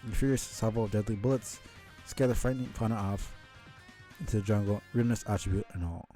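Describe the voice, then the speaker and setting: A man's voice: lowish voice, steady tone, mellow, soft background music. A man reading a story aloud on a live stream, stumbling over words now and then and dropping in casual asides. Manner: halting, stumbles and rereads words.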